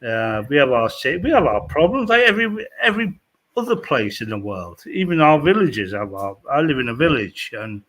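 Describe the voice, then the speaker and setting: A man's voice: medium pitch, very animated, brisk, a bit bright. An English man from the north of England, chatting casually on a group video call, often restarting his sentences and swearing freely. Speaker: regular guest.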